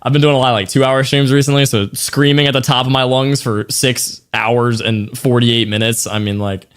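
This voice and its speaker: A young male streamer, hoarse and tired after hours of yelling, talks to his chat in a loose, slangy way at a desk mic. His voice is mid-pitched and a little raspy, and he drifts through half-finished thoughts. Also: steady tone, moderate pace. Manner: loose, thanks donors, slang-heavy, trails off. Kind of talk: casual livestream chat to viewers.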